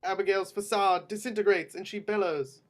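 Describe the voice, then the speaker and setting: A man's voice: sits high, normal speed, a bit bright. A man reading screenplay action descriptions aloud as narrator in a table read.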